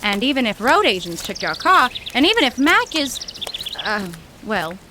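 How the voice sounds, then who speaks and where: A woman's voice: fairly high pitch, big pitch swings, normal speed, noisy background. An actress playing a practical, plucky young woman, wry and commanding, in an old-time radio adventure. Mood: brisk, reassuring.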